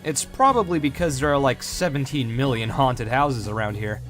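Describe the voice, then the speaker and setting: A man's voice: mid-range voice, normal speed, faint music behind, lightly acted. A man playing a friendly, concerned late-night diner server in a visual novel, casual and helpful. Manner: casual, helpful, gently cautioning.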